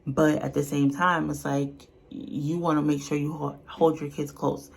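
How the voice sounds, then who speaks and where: A woman's voice: deep voice, flat delivery, a little slow, gravelly, breathy edge. A woman giving casual, unscripted reaction commentary in a blunt, colloquial, earnest way. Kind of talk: casual, unscripted opinion talk.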